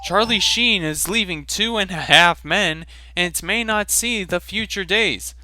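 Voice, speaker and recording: A man's voice: high voice, unhurried, pushed and bright, faint music behind, pitched above the performer's own voice, heavily acted. A man putting on a distraught adult male cat owner in an amateur fan fiction reading, pleading in a raised, melodramatic voice.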